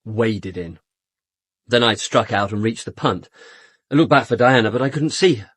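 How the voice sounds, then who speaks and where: An actor playing a flippant, louche upper-class British suspect in a radio drama, speaking in a glib, theatrically casual manner. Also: male voice, fairly low pitch, moderate pace, fairly bright tone, slightly rough, dry recording. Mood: sombre recollection.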